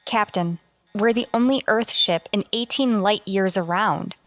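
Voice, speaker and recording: A woman's voice: mid-range voice, unhurried, a bit bright, dry recording, lightly acted. Actress doing a level-headed lady space officer, formal and earnest, old radio sci-fi, very dry recording. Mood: alarmed. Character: dutiful, level-headed female starship officer. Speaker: actor. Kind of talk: golden age sci-fi radio drama acting.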